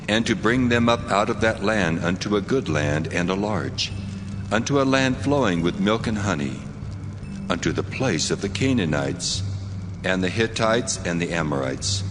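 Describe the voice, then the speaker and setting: A solemn, almighty God for a dramatized scripture recording. The voice is very low and commanding, declaiming in long cavernous reverb over clear background music. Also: male voice. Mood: solemn, resolute. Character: God, solemn commanding male voice from burning bush. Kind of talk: dramatized audio Bible.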